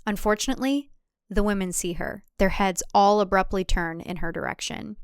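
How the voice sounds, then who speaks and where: A woman's voice: mid-range voice, moderate pace, clean tone, dry recording, clean studio sound. A woman reading scripted present-tense thriller narration for an audio drama.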